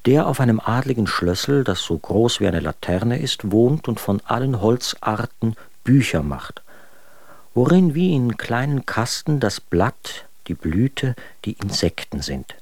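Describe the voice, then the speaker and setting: A man's voice: mid-range voice, very animated, unhurried, gravelly, darkish tone, no room sound, full character voice. An actor playing an exasperated, mocking male letter writer, telling his story articulately and sardonically in a German radio drama. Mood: wry, harried.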